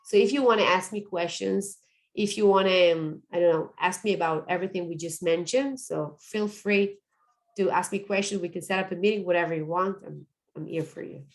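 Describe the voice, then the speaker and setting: A woman's voice: on the low side, fairly quick, low-quality recording. Earnest woman. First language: Hebrew. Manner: earnest, repeats words, adds "okay?" checks. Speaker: positive psychology coach. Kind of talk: webinar presentation.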